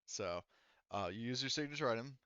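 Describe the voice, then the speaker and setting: A man's voice: mid-range voice, animated, a little fast, bright, forceful tone, clean tone, dry recording, dull audio. A man running a tabletop game as game master, improvising narration and hesitantly searching for his words.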